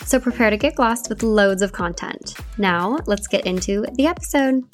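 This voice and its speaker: A woman's voice: mid-range voice, moderate pace, over music. A woman hosting a beauty podcast, upbeat and enthusiastic, moving from a read intro into casual questions. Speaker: podcast host. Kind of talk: scripted intro, then casual interview.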